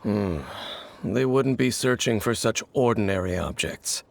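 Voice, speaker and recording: An actor playing a mysterious, grave wandering swordsman. He speaks in a measured and cryptic way. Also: lowish voice, lively intonation, creaky. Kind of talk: video game cutscene voice acting.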